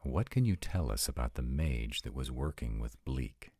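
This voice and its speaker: A man playing an arrogant, courteous male vampire in an audio roleplay, speaking formally and articulately in a husky, gravelly voice. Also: very deep voice, lively intonation, normal speed, darkish tone, dry recording, studio quality. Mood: stern, formal. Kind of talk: acted romantic fantasy audio roleplay.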